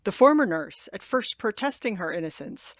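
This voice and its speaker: A woman's voice: mid-range voice, sing-song, normal speed, muffled recording, background noise. A woman playing a sober radio news announcer, formal and dramatic, heard as a broadcast within a radio play. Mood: solemn. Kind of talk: old-time radio newscast acting.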